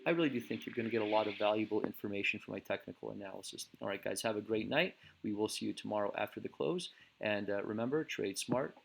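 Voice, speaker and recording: A man hosting a casual stock market recap, talking in a relaxed way with many fillers and restarts, and losing his voice. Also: on the low side, fast, gravelly, hardly any pauses, background noise.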